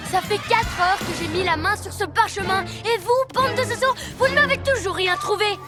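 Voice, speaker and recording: A cocky, scheming boy ninja villain, pitched extremely high and full of mockery. It is cartoon dialogue with music clearly behind. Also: normal speed. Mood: impatient. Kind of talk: animated cartoon dubbing.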